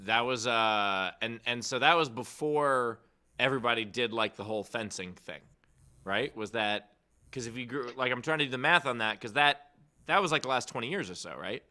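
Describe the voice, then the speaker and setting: A man's voice: mid-range voice, moderate pace, bright, forceful tone. A man co-hosting a comedy show, teasing the others and acting out their words in casual banter. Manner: teasing, mock-acts others' lines. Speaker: comedy podcast co-host.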